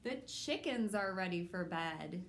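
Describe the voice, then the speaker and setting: A woman hosting a children's storytime, reading a picture book aloud in simple, measured phrases addressed to young children. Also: lowish voice, animated, a little hiss or hum. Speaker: children's storytime host at a public library.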